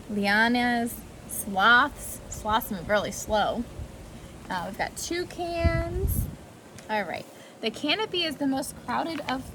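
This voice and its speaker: A woman reading a rhyming picture book aloud to preschoolers in a sing-song way, with brief asides. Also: a little high. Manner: sing-song rhyming delivery addressed to young children. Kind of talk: read-aloud children's storytime with brief asides. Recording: clearly noisy amateur recording.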